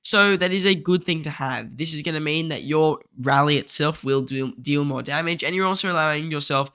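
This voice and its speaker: A man talks through a game guide in a voice-over, his nose blocked so his voice comes out nasal and congested. His tone is bright and a touch high, and he explains things steadily and methodically, point by point. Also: a little fast, clean tone.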